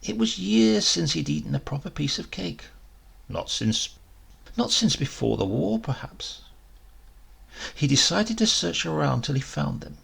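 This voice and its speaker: Gravelly, husky man reading a story. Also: a little high, sing-song, measured pace, fairly bright tone. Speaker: audiobook narrator reading a short story. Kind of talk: dramatic scripted literary narration.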